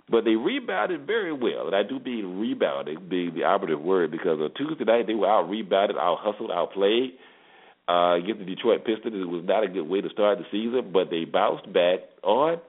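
An American man hosting a sports show, giving conversational game previews and commentary, repeating himself for emphasis. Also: fairly low pitch. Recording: tinny phone-like narrowband sound.

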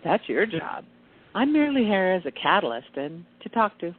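Over a thin, phone-like line comes a kittenish angel, acted by a woman. She is coy and teasing, with pitch that swoops playfully in radio play style. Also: very animated, moderate pace. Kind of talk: amateur radio play acting.

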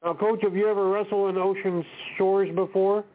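A man's voice: sits high, flat delivery, moderate pace. Man hosting over a tinny line. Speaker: podcast host. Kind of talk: casual chat, interviewing.

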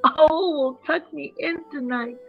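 A woman's voice: high-pitched, unhurried, gravelly, dull audio, faint music behind. A woman voicing a sad, sleepy little boy lamb who pleads and cries out in a bedtime storybook. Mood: sad, pleading. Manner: plaintive, crying out.

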